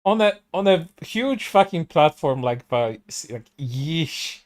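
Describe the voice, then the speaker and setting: A man's voice: a little high, animated, slow. A man commenting on a stream, stammering through restarts, swearing a lot and sounding sarcastic. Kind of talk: casual commentary.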